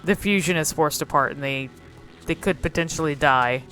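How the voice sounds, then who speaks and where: A woman's voice: deep voice, moderate pace, a bit bright, faint music behind. A woman hosting casual, unscripted game commentary, musing and thinking aloud in a hesitant way. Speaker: video host exploring game cutscenes.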